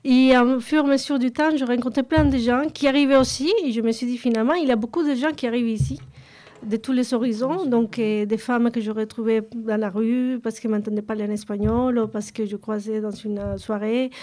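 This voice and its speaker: Higher-voiced woman in spontaneous interview talk. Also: fairly even intonation, brisk, darkish tone. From a very large city. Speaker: interviewed member of a women's association.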